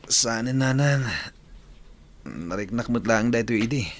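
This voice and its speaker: A man acting a gentle, ailing young son in love in an Ilocano radio drama, soft-spoken, earnest and halting. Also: mid-range voice, lightly acted. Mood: weary, reassuring.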